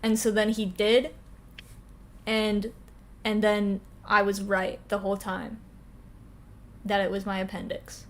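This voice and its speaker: A woman's voice: mid-range voice, little pitch movement, slow pace, pauses often. A young woman telling a story bluntly in casual chat with friends, leaning on "and then I was like". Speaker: podcast co-host.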